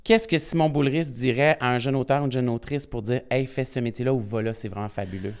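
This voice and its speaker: A man's voice: mid-range voice, quick pace, a little mellow, clean tone. A man with a Quebec French accent co-hosting a podcast, chatting informally and teasing his guest. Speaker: podcast co-host. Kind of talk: casual chat.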